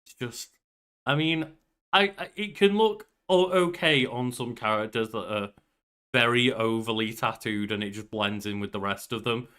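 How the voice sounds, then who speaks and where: Bright-voiced man, rambling casually. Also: medium pitch, moderate pace, clear, resonant tone. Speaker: podcast co-host. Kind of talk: casual banter.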